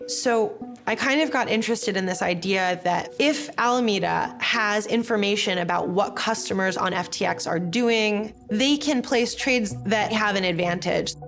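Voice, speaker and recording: A woman journalist explaining events in a measured documentary interview. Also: mid-range voice, fairly bright tone. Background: documentary score music.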